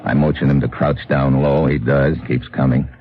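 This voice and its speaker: Deep-voiced hard-boiled American radio hero. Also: male voice, normal speed. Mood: tense, clipped. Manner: clipped, hard-boiled, deadpan. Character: tough, wry American male adventurer hero narrating his mission. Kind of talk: 1950s radio adventure acting and narration. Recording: dull old radio recording, music behind.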